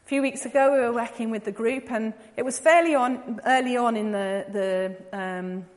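A woman's voice: on the high side, moderate pace. English woman speaking in a reverberant hall. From England. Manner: chatty, many ums, light laughing asides. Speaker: missionary speaker. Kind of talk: informal talk to a church audience. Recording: long reverb of a large hall.

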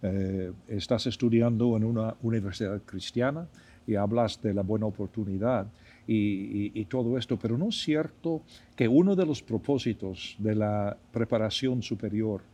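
A man hosting a conversational podcast interview, putting long questions in a thoughtful, halting way. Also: lowish voice, a little mellow.

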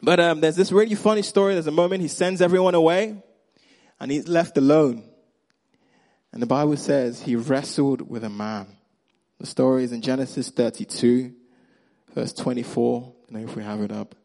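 A man with a Nigerian accent preaching a sermon, acting out the characters' lines and swinging between loud emphasis and quiet, hushed moments. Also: medium pitch, normal speed. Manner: animated storyteller, acts out Bible characters' lines.

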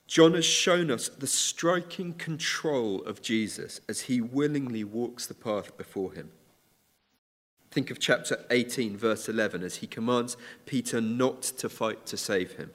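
A man preaching a sermon in a church, measured and earnest, with occasional restarts. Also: mid-range voice. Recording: church hall reverb.

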